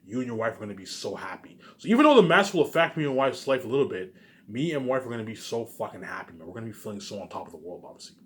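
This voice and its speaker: Fast-talking man in an emphatic, rambling monologue. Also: on the low side, sing-song, a bit bright. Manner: repetitive, circling back over phrases, often tacking on "obviously"; emphatic, swearing. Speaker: vlogger.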